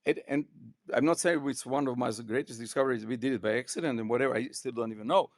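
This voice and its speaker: An older man with a Croatian accent, talking as a physics professor in an interview in an emphatic, digressive way, often repeating words haltingly. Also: medium pitch, no echo, clean studio sound. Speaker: physics professor, podcast guest. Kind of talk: unscripted, discursive interview talk.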